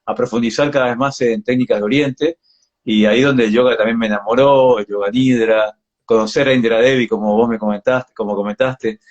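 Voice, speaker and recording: A man's voice: mid-range voice, steady tone, brisk, darkish tone, dull audio. A man in his forties with an Argentine accent, chatting reflectively as a guest in a casual interview and often checking for agreement. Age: 40s. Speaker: yoga practitioner being interviewed. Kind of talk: casual live interview chat.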